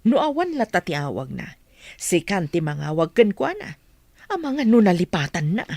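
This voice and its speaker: A woman with an Ilocano accent playing a sharp-tongued middle-aged mother in a radio comedy drama. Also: fairly low pitch, big pitch swings, moderate pace, vocal fry, no room sound, studio quality, exaggerated voice. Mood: scornful, advising.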